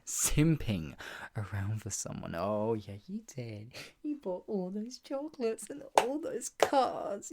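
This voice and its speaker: A woman playing a menacing, obsessive yandere captor in an ASMR roleplay, husky and taunting, swinging between mock pity and threat, often dropping into a breathy whisper. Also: mid-range voice, a little mellow, slight background noise, exaggerated voice. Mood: scornful. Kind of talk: ASMR roleplay, improvised monologue.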